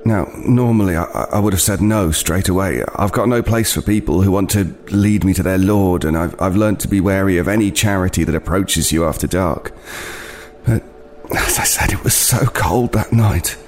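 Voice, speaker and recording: A man's voice: low voice, darkish tone, a little hiss or hum, lightly acted. An actor playing a weary, wary homeless man, hesitantly telling an unsettling tale in an audio play. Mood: haunted, reflective. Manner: hesitant, halting, haunted, with repeated words. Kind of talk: dramatic first-person storytelling in an audio play.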